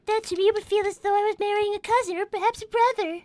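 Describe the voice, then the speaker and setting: A prissy little rabbit girl, as a woman voices her with a sky-high, piping voice and stiff, proper diction full of old-fashioned exclamations. She sounds close and dry, with almost no room around her. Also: fairly even intonation, quick pace, a little mellow, dry recording. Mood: prim. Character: prim, prissy young female rabbit girl. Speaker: character voice actor on a live stream. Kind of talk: improvised live character roleplay.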